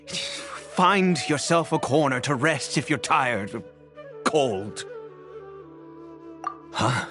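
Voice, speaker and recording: A man voicing a weary, guarded exiled nobleman under a curse of immortality in a fantasy video game, halting and emotionally intense, with sighs. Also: on the high side. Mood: wary, protective. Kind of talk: dramatic video game dialogue.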